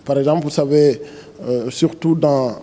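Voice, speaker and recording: A man's voice: medium pitch, normal speed, dark tone. A man with a Senegalese accent explaining things in an interview as an agency director, hesitating and restarting his phrases. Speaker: director general of a planning agency.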